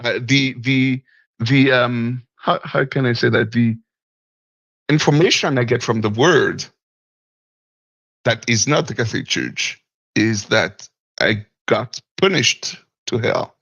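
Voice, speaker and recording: Guy calling in, raspy fry in his voice, lots of ums, stops to find the right english word. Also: medium pitch, takes it slowly, long pauses, fairly bright tone, no room sound, highs cut off. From Martinique. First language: French. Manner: hesitant, searching for words, chuckles often. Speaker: caller. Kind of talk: call-in discussion.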